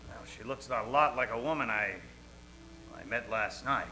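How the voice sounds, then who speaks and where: A man playing an easily flustered hard-boiled private eye with a halting, deadpan delivery, in old-time radio noir parody style. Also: mid-range voice, a little hiss or hum, low-quality recording. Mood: smitten, curious.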